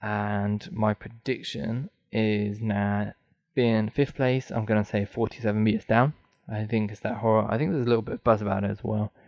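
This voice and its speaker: Man, podcast host, flat delivery, dry close sound, dry throat, rambling. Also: on the low side, normal speed, vocal fry, no room sound, dull audio. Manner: rambling, thinks aloud with many ums. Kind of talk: solo film podcast chat.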